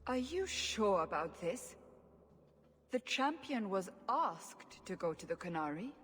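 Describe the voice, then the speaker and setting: A woman's voice: mid-range voice, very animated, unhurried, fairly bright tone, breathy edge, exaggerated voice. An actress playing a skeptical female interrogator. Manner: skeptical, probing. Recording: game audio with long reverb.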